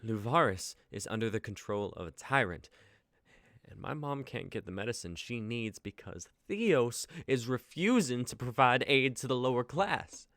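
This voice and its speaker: A man's voice: mid-range voice, expressive, moderate pace, fairly bright tone, dry recording, studio quality. A young man playing a nervous, idealistic young driver in a dramatic reading, speaking hesitantly and earnestly. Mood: pleading, impassioned. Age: young adult. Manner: hesitant, stammering. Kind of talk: online dramatic script reading.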